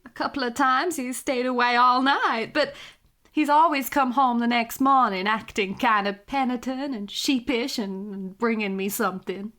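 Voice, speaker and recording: An actress playing an anxious, doting 1940s widow in a noir audio drama, earnest and hesitant. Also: female voice, on the high side, normal speed, pushed and bright. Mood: fond, wistful.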